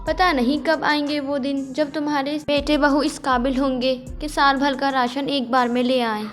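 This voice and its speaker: Woman acting older cartoon village aunt, spiteful, taunting, high voice, background music. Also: flat delivery, moderate pace, lightly acted. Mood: mocking. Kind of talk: Hindi moral-story cartoon dubbing. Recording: music bed behind the voice.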